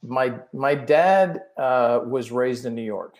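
A man's voice: mid-range voice, slow pace, darkish tone. A man raised in England, now speaking, chatting as a podcast guest and often repeating words as he gets going. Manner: rambling, repeats words when starting sentences. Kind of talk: casual chat.

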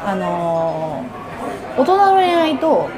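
A woman's voice: mid-range voice, very animated, drawn-out words, over music, crowd noise. A woman in her thirties, an announcer, chatting casually as a podcast host and chiming in often with short interjections. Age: 30s. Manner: frequent short interjections and backchannels. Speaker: freelance announcer hosting a podcast. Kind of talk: casual chat between co-hosts.

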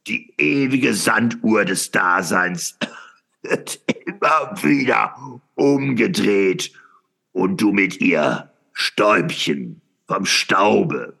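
A brooding philosopher in a radio play. His bright, forceful voice crackles with gravel and swings widely in pitch. He declaims fervently, halting and repeating himself for dramatic weight. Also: heavily acted. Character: fervent, brooding male philosopher proclaiming eternal return. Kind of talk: theatrical radio play monologue.

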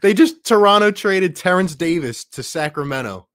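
A man hosting a sports livestream in an excited, casual way, reading out viewer messages with plenty of slangy exclamations. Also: high voice, moderate pace, dry recording, studio quality. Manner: slangy, exclamatory, addresses listeners as man and bro. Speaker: livestream host.

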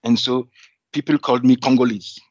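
A man from Belgium telling his life story in an interview. He pauses often and restarts his phrases as he thinks. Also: mid-range voice, fairly even intonation. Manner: thoughtful, with frequent restarts and asides. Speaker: guest. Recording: dull, slightly muffled audio.

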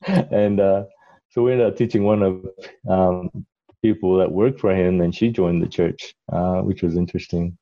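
A middle-aged man from Malaysia talks at length in a very low, warm voice. He rambles and restarts his sentences with many fillers. The recording sounds a little dull and muffled. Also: steady tone, normal speed. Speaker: interview guest. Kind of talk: casual conversational storytelling.

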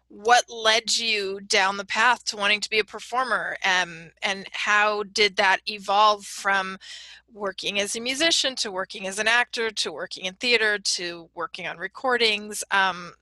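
A woman's voice: mid-range voice, normal speed, pushed and bright, no echo, poor audio. A woman, casually asking questions as a podcast interview host.

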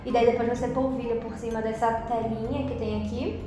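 A young woman with a Northeastern Brazilian, Bahian accent, talking casually and lively as a podcast guest and saying "tipo" a lot. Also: a little high, fairly quick, background noise. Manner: lively, says "tipo" often. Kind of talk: casual chat. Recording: very echoey room with music.

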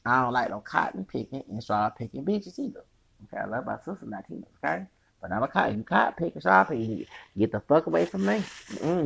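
A man's voice: fairly high pitch, creaky, fairly bright tone, husky. A man hosting a solo podcast in a rambling, profane rant, with a feminine-sounding voice and frequent laughing, sing-song asides. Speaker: podcast host.

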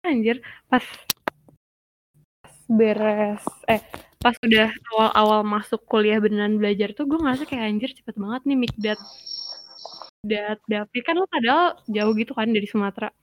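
A young woman, a student, speaking in a casual chat in an expressive, slangy way. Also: mid-range voice, moderate pace, long pauses. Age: young adult. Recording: dull lo-fi sound with faint noise.

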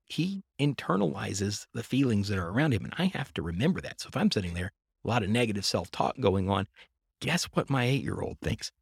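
A man's voice: on the low side, big pitch swings, fairly quick, gravelly, slightly rough, no echo, studio quality. A middle-aged man hosting a faith-based podcast, talking earnestly and reflectively in long linked thoughts. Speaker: podcast host.

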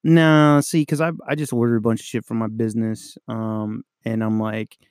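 A man's voice: fairly low pitch, little pitch movement, moderate pace, darkish tone, smooth, dry recording, studio quality. A young adult man, a police officer co-hosting a podcast, chatting casually, swearing often and laughing through stories. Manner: swears a lot, laughs, tells war stories. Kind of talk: casual profane chat.

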